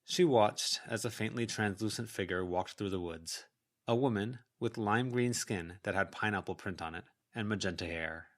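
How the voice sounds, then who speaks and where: A man's voice: lowish voice, moderate pace. A man reading scripted fiction as an audiobook narrator.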